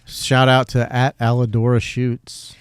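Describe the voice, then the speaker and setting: A man hosting a podcast, chatting in a relaxed, joking way and swearing casually. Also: medium pitch, measured pace, clean tone, no room sound, clean studio sound. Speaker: podcast host.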